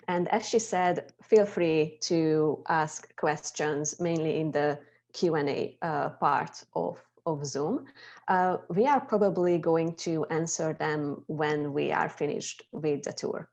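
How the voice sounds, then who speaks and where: A woman's voice: low voice, a little mellow, muffled recording. A young adult Hungarian woman with a Hungarian accent, presenting an informal educational tour as a guide on a video call.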